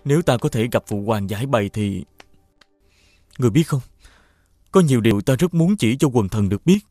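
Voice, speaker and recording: A man playing a noble, honourable young prince in an animated fairy tale, speaking earnestly and formally. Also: mid-range voice, a little fast, dry recording, soft background music, close to an everyday voice.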